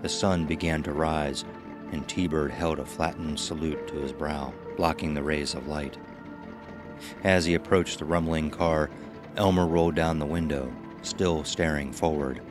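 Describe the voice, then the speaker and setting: A man tells a scripted horror tale in an extremely deep voice. Music plays clearly beneath him. His delivery is measured and dramatic, phrase by deliberate phrase. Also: fairly even intonation. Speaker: narrator of a horror anthology. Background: music under the voice.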